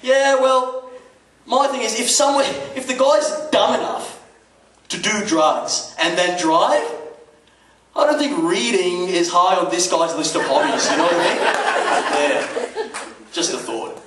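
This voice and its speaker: High-pitched male stand-up comic, roomy club sound. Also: measured pace, long pauses, fairly bright tone. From Dubai. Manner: conversational, punctuated with rhetorical questions and swearing. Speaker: stand-up comedian. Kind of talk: stand-up comedy routine. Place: comedy club stage. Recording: roomy, distant mic, noisy club recording. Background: audience laughter, background noise.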